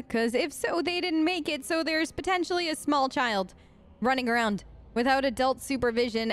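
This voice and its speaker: A woman narrating her role-play game session in a fairly high voice. She talks in conversational, slightly hesitant asides as a let's player. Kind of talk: role-play gaming commentary, reading in-game text aloud.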